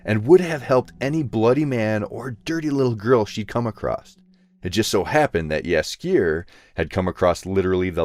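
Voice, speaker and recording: A man narrating a scripted story in an expressive storytelling manner. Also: deep voice.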